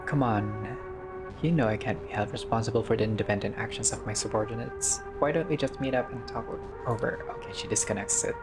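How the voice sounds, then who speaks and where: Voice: mid-range voice, a little fast, loud music behind the voice. A gamer doing a casual playthrough, reading visual novel text aloud with informal asides, some swearing and the odd stumble. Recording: clearly noisy recording.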